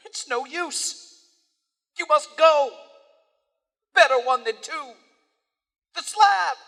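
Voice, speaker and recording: Man acting a high-pitched, terrified doomed explorer. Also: takes it slowly, gravelly, bright, forceful tone, heavily acted. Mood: frantic urging. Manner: urgent, horrified, pleading. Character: doomed, terrified male explorer urging his friend to flee. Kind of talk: live old-time radio horror acting. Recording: reverberant theater hall.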